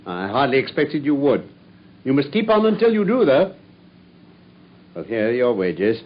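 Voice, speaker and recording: An actor playing a brilliant, self-assured Victorian detective with an English accent and a wry, commanding manner in a radio drama. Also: male voice, medium pitch, huge pitch swings, moderate pace. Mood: brisk, commanding. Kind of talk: British radio drama acting. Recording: muffled radio recording with faint noise.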